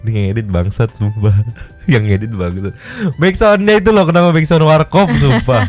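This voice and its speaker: A man hosting a casual podcast, reacting to clips with animated, teasing commentary. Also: mid-range voice, normal speed, faint music behind. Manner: animated, joking, teasing asides. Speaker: podcast host.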